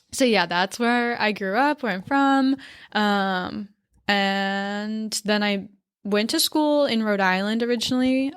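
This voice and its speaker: A woman's voice: mid-range voice, a bit bright, smooth, dry recording, clean studio sound. A woman in her twenties from Maine, a photographer, talking casually in an interview with many ums and likes. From central Maine, US. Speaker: guest photographer.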